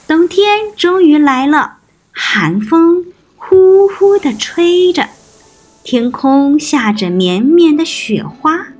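A woman hosting a preschool storytime, narrating expressively and speaking directly to small children. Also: sits high. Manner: addresses small children with questions and soft particles.

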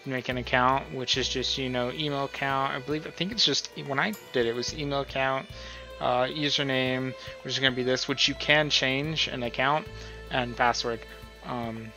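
A man's voice: medium pitch, fairly even intonation, moderate pace, bright, forceful tone. A man giving a casual screen-recorded tutorial, thinking aloud informally and often checking in with the listener. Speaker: tutorial host. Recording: dull, lo-fi sound with music.

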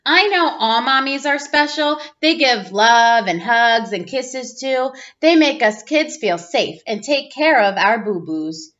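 Animated, high-voiced woman reading a picture book. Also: takes it slowly, few pauses. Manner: expressive, playful exclamations and sound effects. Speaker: teacher reading aloud. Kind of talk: children's picture book read-aloud. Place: small room at home, indoors. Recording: dull lo-fi webcam audio.

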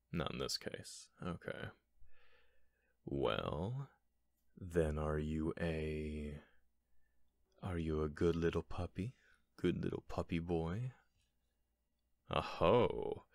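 Guy playing a gently dominant teasing roommate, asmr roleplay, slow soft talk, male, dry close mic. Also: low voice, gravelly, no room sound, exaggerated voice. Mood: teasing, amused. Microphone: close microphone, dry sound.

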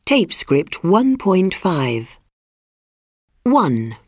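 Woman announcer, very slow, low, lively pitch, dry booth. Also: no echo. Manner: slow, deliberate and carefully spoken. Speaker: textbook audio announcer. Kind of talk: scripted lesson announcements.

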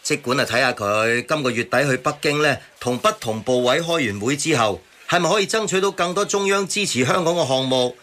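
A man playing a reasonable, informed character who explains the news in measured terms in a radio drama. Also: mid-range voice, lively intonation, pushed and bright. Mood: reasonable, explaining.

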